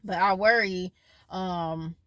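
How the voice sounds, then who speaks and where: A woman in her late twenties talks to camera in a loose, wandering vlog, circling back and forgetting what she meant to say. Her voice sits a little low, with a worried, faith-leaning tone. The sound is close and dry, with no room around it. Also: steady tone, slow pace, a bit bright, no room sound. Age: 20s. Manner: rambling, loses her train of thought; worried, faith-filled musing. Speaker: vlogger. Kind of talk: casual personal vlog.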